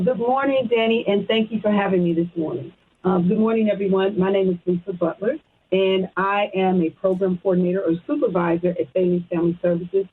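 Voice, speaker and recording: A woman's voice: mid-range voice, slightly rough. American woman on a muffled phone line. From Chicago, United States. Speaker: radio guest, social services program supervisor. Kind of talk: conversational interview answers. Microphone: phone call.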